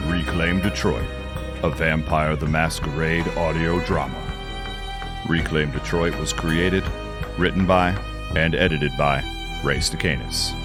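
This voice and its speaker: A man's voice: a bass voice, fairly even intonation, measured pace. A man reading scripted credits as the announcer of an audio drama. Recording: loud music behind the voice.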